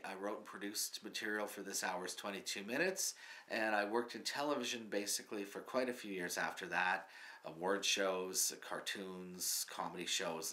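A man in his fifties who answers earnestly and matter-of-factly, filling pauses with ums. His voice sits a little low but sounds bright and forward. He is seated in a small studio. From Canada. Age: 50s. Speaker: comedy writer, guest. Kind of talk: mock interview, plain answers. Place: small studio with curtain backdrop.

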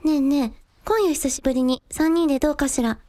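Radio drama: sweet, sociable sister, played by a woman, high and mellow, dry recording. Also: brisk, no room sound, lightly acted. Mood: cheerful. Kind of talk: Japanese radio drama acting.

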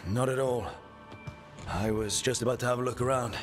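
A man's voice: medium pitch, fairly even intonation, moderate pace, close to an everyday voice. A man voicing a blunt, matter-of-fact young hero who leads his team, in game dialogue. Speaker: player character. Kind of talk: video game voice acting. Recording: game dialogue over clear music.

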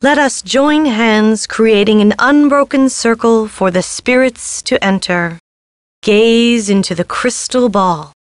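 A woman's voice: on the high side, slow, no room sound, studio quality. An actress playing a dramatic spiritualist woman in a video game, theatrical and emotive. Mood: solemn, mystical. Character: dramatic spiritualist woman who holds seances.

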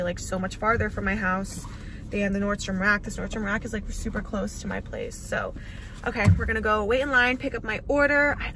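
A young woman talks casually to her own camera, rambling and full of filler words. Her voice sits at a middle pitch. A faint background noise runs under her, recorded at arm's length at home and in a car. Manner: chatty, rambling, lots of "like" fillers. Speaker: lifestyle vlogger. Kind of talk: casual vlog chat to camera. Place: at home, then in a parked car. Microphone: handheld camera or phone at arm's length.

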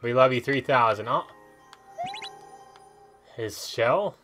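A man hosts a gaming video in a medium-pitched voice. He talks casually and thinks out loud as he plays. Game music runs clearly behind his commentary. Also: takes it slowly. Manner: chatty, thinking aloud while playing.

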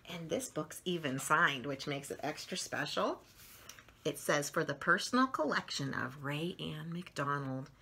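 A middle-aged woman reading a story aloud for children, expressively and with drawn-out emphasis on words. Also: deep voice, creaky, sharp tone, breathy edge. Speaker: children's story channel host reading picture books aloud. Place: small furnished room at home, indoors.

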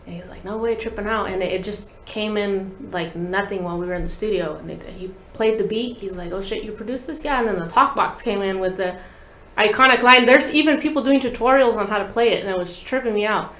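A woman's voice: mid-range voice, fairly quick, a bit bright, a little hiss or hum. A woman in her thirties chatting casually as an interview guest, telling anecdotes in slangy language and acting out quoted dialogue. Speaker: guest musician, talk box player. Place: small podcast studio, indoors. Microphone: desk microphone. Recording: roomy studio echo.

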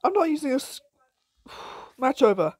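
A woman casually commenting on her own gameplay with a croaky voice, giggling and exclaiming often. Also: high voice, moderate pace, dry recording, clean studio sound. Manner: giggly, excitable, frequent laughs and exclamations.

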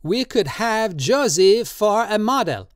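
A storybook reader voicing an excitable, drunken old man who pleads and insists, raised well above the reader's own voice with an altered tone. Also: mid-range voice, takes it slowly, smooth, no echo, studio quality, voice pushed up. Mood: eager.

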